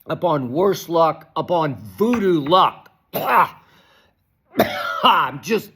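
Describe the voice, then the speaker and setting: An older man hosts a solo sports talk segment, his voice hoarse and worn from shouting. He rants with exasperation, sitting a little high in pitch, and trips over his words, repeating them as he pushes on. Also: expressive. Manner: exasperated, ranting, halting restarts. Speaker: sports talk show host. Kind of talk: animated solo sports commentary.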